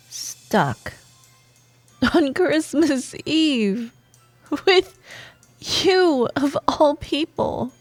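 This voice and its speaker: A woman acts out a bantering female office rival with a soft, admiring side, for a romantic audio roleplay. Her delivery is slow and teasing, full of expressive pauses. The voice is close and dry over faint music. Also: sits high, no room sound, exaggerated voice. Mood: exasperated, accusing.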